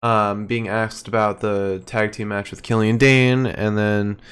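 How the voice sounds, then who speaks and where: Rambling man with a smooth voice. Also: lowish voice, little pitch movement, unhurried, fairly bright tone. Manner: rambling, hesitant, many fillers. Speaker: podcast co-host. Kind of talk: casual wrestling recap chat.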